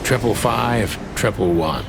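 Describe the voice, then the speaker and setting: An actor playing a weary, wisecracking rooster detective in a noir game, with a low gravelly voice and a dry, sardonic, laconic delivery. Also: male voice, slow pace, over music. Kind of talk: film-noir game voice acting.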